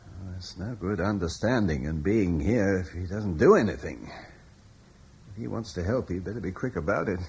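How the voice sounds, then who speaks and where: A man's voice: sits low, lively intonation, moderate pace, darkish tone, slightly rough, full character voice. An actor playing a weary Roman-era man who speaks in a wry, bitter, resigned way, in a classic radio play. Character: weary Roman-British man facing the end. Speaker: Julian, husband in a radio play. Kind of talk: 1950s-60s radio drama acting. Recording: old radio broadcast with faint noise.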